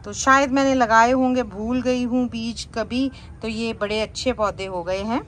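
Woman, fairly high pitch, casual vlog narration, outdoor background noise. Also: fairly even intonation, moderate pace, fairly bright tone. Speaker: gardening vlogger. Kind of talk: casual garden tour narration.

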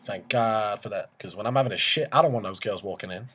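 A man's voice: on the low side, fast talker, a bit bright, husky. A man in his twenties making a joking phone call in a cheeky, swaggering, slangy way from a small room. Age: 20s. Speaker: prank caller and video host.

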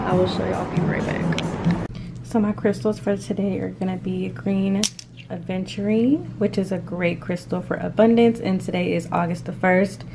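A woman's voice: medium pitch, creaky, background noise. A young woman in her twenties vlogging casually to camera in her apartment, chatty with lots of fillers. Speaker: lifestyle vlogger. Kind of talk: casual vlog talk to camera. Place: apartment, indoors.

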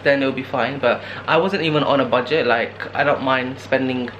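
A man's voice: medium pitch, fairly even intonation, normal speed, a bit bright, muffled recording. A young man in his twenties vlogging solo while traveling, talking casually and rambling to a handheld camera. He is worn out and has a sore throat. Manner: rambling, tired, talks to camera in fillers. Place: guesthouse room indoors, later noisy narrow street at night. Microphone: camera held at arm's length. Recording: handheld camera with clear background noise.

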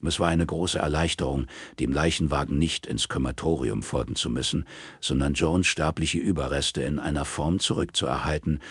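A man reading literary prose as an audiobook narrator in a measured, sober manner. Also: rumbling low, slightly rough, no room sound, clean studio sound. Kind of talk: scripted literary narration in German.

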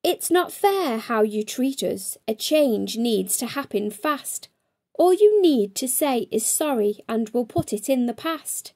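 A brave, kind little storybook boy, acted out by a woman, speaks up earnestly. The voice is high and lively, with plain-spoken conviction. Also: slow, full character voice. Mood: firm, earnest.

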